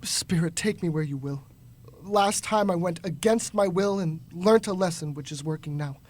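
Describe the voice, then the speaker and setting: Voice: mid-range voice, normal speed, lightly acted. An actor playing a frightened, remorseful old miser in a radio drama, pleading and agitated. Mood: nervous, humbled.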